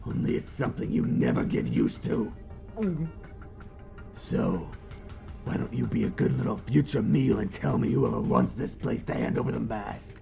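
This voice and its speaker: A heavily creaking thug of a sea warlord acted by a man, sarcastic and threatening. His pitch swoops as he makes mock-casual threats, with music playing behind him, in animated fan dub style. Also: moderate pace, exaggerated voice. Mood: mocking menace. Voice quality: gravelly, growling. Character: sardonic, threatening crab-army sea warlord, a tough thug.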